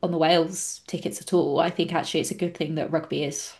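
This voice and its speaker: A woman from England with an English accent speaks in a slightly low register. She argues her points casually and emphatically as an outspoken podcast panellist. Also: steady tone, quick pace, a little mellow. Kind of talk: casual opinionated discussion.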